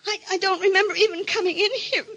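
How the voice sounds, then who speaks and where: An actress playing a fragile, high-strung wife who doubts her sanity, hesitant and pleading in old-time radio melodrama style. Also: steady tone, quick pace, bright, forceful tone, full character voice. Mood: pleading, frantic.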